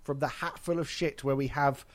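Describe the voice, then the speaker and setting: A man's voice: on the high side, fairly even intonation, moderate pace. Congested man hosting a chatty podcast. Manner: wry, chatty, laughs at own jokes. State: congested from hay fever. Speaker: podcast host. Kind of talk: casual podcast chat.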